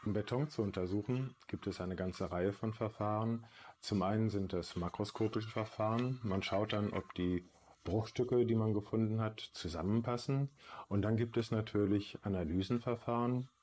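A man's voice: on the low side, steady tone, normal speed, a little mellow. A man giving an expert interview in an explanatory, matter-of-fact way. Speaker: forensic materials expert.

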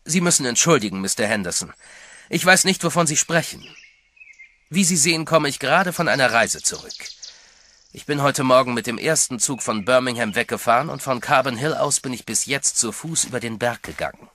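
A sinister, mocking hermit. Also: male voice, mid-range voice, animated, fairly quick, pushed and bright, slight background noise. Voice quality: silky, mocking politeness. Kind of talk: radio drama acting.

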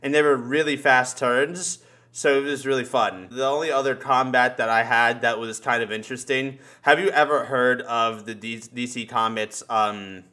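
A man's voice: mid-range voice, steady tone, moderate pace, sharp tone. A young man with a mid-range voice talks in loose, casual chat. He jokes around and swears easily, recorded indoors on a group call. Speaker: podcast host.

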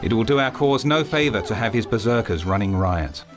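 An actor playing an urgent, commanding knight sending orders in a game cutscene. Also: male voice, lowish voice, animated, fairly quick, music in the background, lightly acted. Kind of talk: video game cutscene acting.

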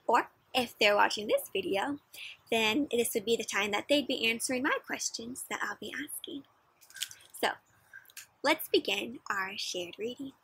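A young woman reads aloud to children in an expressive, engaging style. Her voice is bright and fairly high with a husky edge. She leans on key words as she goes. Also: a little slow, no room sound, rough recording. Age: young adult. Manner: expressive, questioning, emphatic. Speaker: children's storybook reader. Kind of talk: interactive read-aloud for children. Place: outdoors in a playground.

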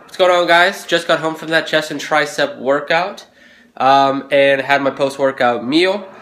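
A young man talking casually into a phone camera he holds at arm's length, chatting about his fitness routine and food prep in a relaxed, motivational way. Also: on the high side, measured pace, faint music behind. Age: young adult. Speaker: fitness vlogger. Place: condo gym, then home kitchen.

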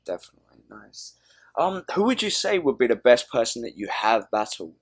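A man asking brief, measured interview questions. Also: mid-range voice, big pitch swings, husky.

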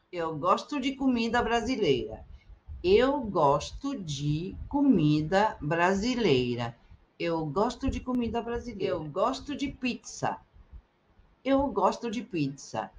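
Slow, lively middle-aged woman teacher. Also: mid-range voice. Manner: slow, carefully articulated repetition. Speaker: Portuguese teacher. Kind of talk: slow repeated phrase drills for learners. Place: indoors, webcam in a circle overlay.

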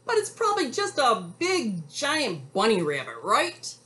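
A woman's voice: a little high, huge pitch swings, a little slow, sharp tone, pitched above the performer's own voice, exaggerated voice. A woman voicing an easily frightened storybook cowboy in an exclamatory, questioning way. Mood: unsure, reasoning.

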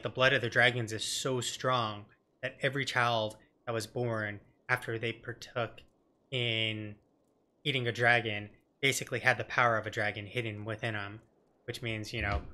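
A man streams a game with a mid-pitched, sharp, bright voice. His commentary is casual and joking, and it rambles along conversationally. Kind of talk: casual let's play commentary.

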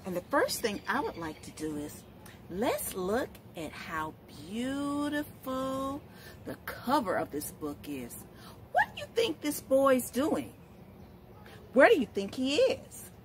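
A middle-aged woman reading a picture book aloud to young children, expressive and asking questions as she goes. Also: high-pitched, takes it slowly, noisy background. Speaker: storytime host, literacy educator. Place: outdoors, in front of leafy shrubs.

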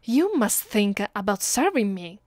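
A woman with an Italian accent playing a domineering, cruel vampire mistress in an ASMR roleplay, commanding and deliberate. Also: medium pitch, very animated, darkish tone, no echo, studio quality. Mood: controlling. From Italy. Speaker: voice actress. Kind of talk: ASMR roleplay, close and slow with whispered moments.

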